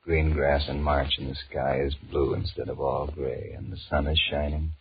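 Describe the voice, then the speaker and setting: Very deep, weary, grumbling businessman. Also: little pitch movement, normal speed, gravelly. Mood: weary, wistful. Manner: grumbling, wheedling. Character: weary, restless married businessman flirting with his secretary. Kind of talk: 1940s radio drama acting, inner monologue and dialogue. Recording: muffled, hissy 1940s radio recording.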